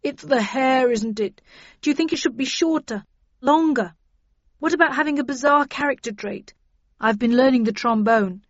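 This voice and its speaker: A woman's voice: a little high, fairly even intonation, brisk, no echo, lo-fi sound, lightly acted. A woman voicing a large, jovial male detective chief inspector in an audiobook, hearty and anxious for approval. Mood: anxious, eager.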